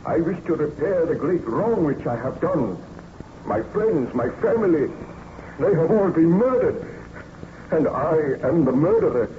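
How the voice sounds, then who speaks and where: A man's voice: a little high, expressive, a little slow. A man playing a tormented, guilt-ridden scientist nobleman in formal, theatrical old-time radio drama style. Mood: anguished, indignant. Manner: grave, melodramatic, formal theatrical diction. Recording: dull, noisy old radio recording.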